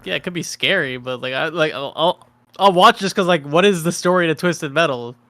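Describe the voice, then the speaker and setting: A man's voice: a little high, brisk, a bit bright, no room sound. A man co-hosting a podcast, giving opinions in informal, sweary group chat. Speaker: podcast co-host. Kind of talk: casual group chat about entertainment.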